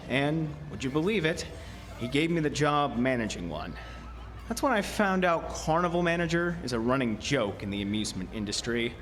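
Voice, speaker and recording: An actor playing a bitter, weary carnival manager in a radio horror drama, brooding and expressive. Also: male voice, mid-range voice, slight background noise. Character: bitter, weary carnival manager narrating his memoir from prison. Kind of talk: radio drama acting and first-person narration. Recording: music under the voice.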